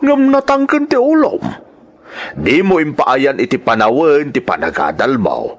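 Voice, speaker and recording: A man's voice: medium pitch, sing-song, a little slow. Guy acting as a fed-up old dad in a radio drama, scolding, voice swings up and down a lot. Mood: exasperated, reproachful. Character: stern, exasperated elderly father scolding his grown daughter.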